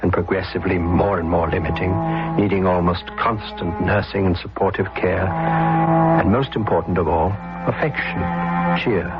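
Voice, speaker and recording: An actor playing a wry, breezy, rebellious upper-class son who narrates and banters in a period radio drama. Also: male voice, low-pitched, normal speed. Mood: reflective, wry. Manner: wry, playful, wordy banter. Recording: dull old radio recording with music.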